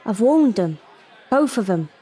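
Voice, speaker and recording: An actress playing a weary, sharp-tongued barmaid in an audio drama, wry and guarded, with a working-class English accent. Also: female voice, mid-range voice, sing-song, unhurried, slightly rough, some chatter behind.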